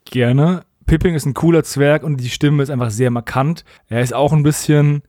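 A man's voice: fairly high pitch, steady tone, moderate pace, dark tone, dry recording. A man co-hosting a podcast in casual, colloquial talk, giving frank opinions. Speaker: podcast co-host.

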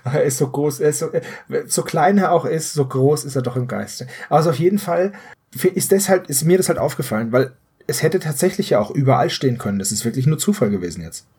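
A man co-hosting a podcast, casually retelling a story in analytical detail and correcting himself as he goes, in a slightly husky voice. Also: on the high side, animated, quick pace, a little mellow. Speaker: podcast co-host.

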